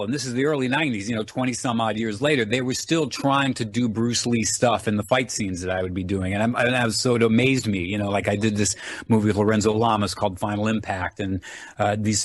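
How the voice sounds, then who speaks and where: A man's voice: on the low side, no echo. A middle-aged man chatting casually as an interview guest, talkative and rambling as he explains things. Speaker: interview guest, actor and martial artist.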